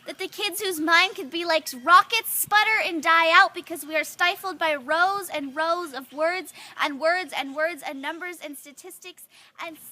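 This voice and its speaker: A woman's voice: very high voice, normal speed, bright, forceful tone. A young woman reciting slam poetry in an earnest, rhythmic way, leaning on repeated phrases. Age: young adult. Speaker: student poet.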